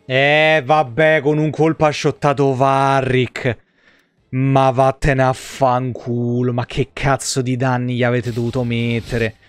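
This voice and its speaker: A man's voice: medium pitch, flat delivery, slow pace, clean tone, dry recording. A man in his thirties doing live gameplay commentary, grumbling and foul-mouthed as he chats casually. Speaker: video game streamer. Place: indoor room at a streaming desk.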